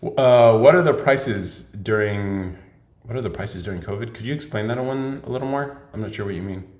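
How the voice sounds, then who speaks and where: A man's voice: fairly low pitch, a little fast, darkish tone. A young man hosting a livestream Q&A podcast, chatting casually and laughing often as he jokes. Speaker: podcast host. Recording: echoey room.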